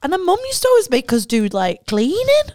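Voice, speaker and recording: A woman's voice: very high-pitched, fast, no room sound, clean studio sound. A woman in casual chat as a podcast co-host, telling stories with lots of fillers. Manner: chatty, animated storytelling with many fillers.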